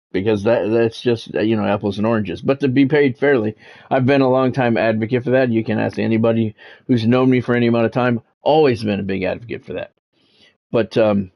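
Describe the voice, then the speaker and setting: A man's voice: mid-range voice, muffled recording. A man giving casual live video game commentary with sportscast touches, talking conversationally and thinking aloud. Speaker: video game streamer and play-by-play announcer.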